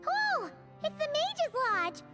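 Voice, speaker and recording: A woman voicing a small, excitable childlike fairy companion in a video game, with a squeaky, eager, exclamatory delivery. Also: slow, over loud music, exaggerated voice. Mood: excited.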